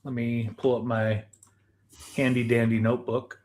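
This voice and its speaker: A man hosting a podcast in a casual conversational style, deliberate and questioning as he steers the talk. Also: mid-range voice, little pitch movement, darkish tone, slight background noise. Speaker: podcast host.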